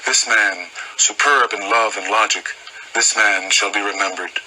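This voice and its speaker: A man's voice: lowish voice, fairly even intonation, unhurried, sharp tone, a little hiss or hum, rough recording. A man reciting poetry solemnly, with emphatic short phrases. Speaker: poetry reader.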